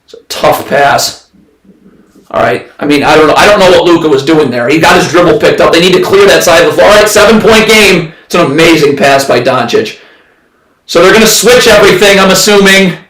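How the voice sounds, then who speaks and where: Guy livestreaming game reactions, bright sharp voice, fed up and ranting, repeats himself, echoey room. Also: fairly high pitch, a little fast. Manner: exasperated, ranting, often shouting. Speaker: livestream sports commentator. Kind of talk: live play-by-play reaction rant. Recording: roomy echo, distant from mic.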